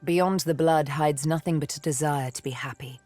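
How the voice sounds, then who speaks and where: A woman's voice: sits low, normal speed, darkish tone, dry recording, faint music behind, close to an everyday voice. A woman playing a cool, sardonic, vengeful elf assassin in a fantasy game, poised, wry and intimate. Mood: soft, reflective. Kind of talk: fantasy video game acting.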